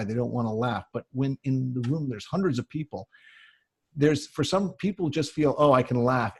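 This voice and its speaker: Husky-voiced Canadian man giving advice. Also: medium pitch, darkish tone. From Canada (Ontario). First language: English. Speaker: public speaking coach and guest speaker. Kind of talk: conversational advice answering audience questions.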